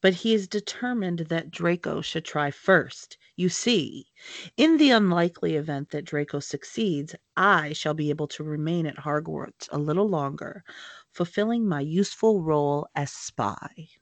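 A woman reading aloud and voicing a cold, controlled older male wizard who speaks flatly and quietly. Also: sits low, no echo, pitched below the performer's own voice. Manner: flat, quiet, measured delivery.

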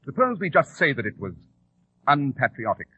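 An actor playing a shrewd, sardonic English gentleman detective with precise, lofty diction in a 1940s radio drama. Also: mid-range voice, sing-song, moderate pace, breathy edge, no room sound. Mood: guarded, bold. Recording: dull, muffled old radio recording.

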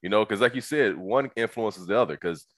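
A man hosting a casual interview, relaxed and encouraging, with frequent short agreements. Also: fairly low pitch, fairly quick, no echo.

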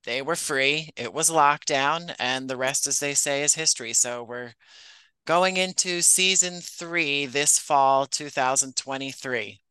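A man's voice: mid-range voice, a little slow, bright, forceful tone, clear, resonant tone, dry recording, rough recording. A man answering questions as an interview guest on a podcast. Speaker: podcast guest, writer and producer.

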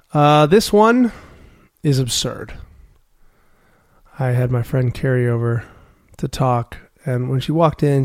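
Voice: mid-range voice, darkish tone. Giggly, rambling podcast host. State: mildly high. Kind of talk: casual chat.

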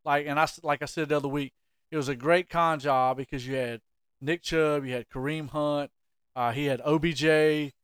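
Chatty man, bright clear voice. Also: fairly high pitch, normal speed, no room sound, studio quality. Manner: animated, jokey, plays the interviewer. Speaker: podcast co-host. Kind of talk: casual sports chat.

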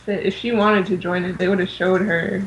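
A woman's voice: fairly low pitch, steady tone, a little fast, highs cut off, a little hiss or hum. A young woman co-hosting a casual fan podcast, talking in an informal, rambling way full of filler words. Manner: informal, rambling, says "like" often. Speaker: podcast co-host.

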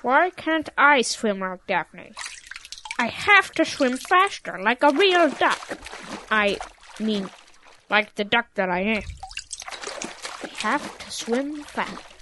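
A woman voicing a stubborn, dejected young cow who insists on being a duck, childlike and halting, in an audio drama. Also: a little high, very animated, noisy background. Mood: dejected, determined. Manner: halting, stammering, self-correcting.

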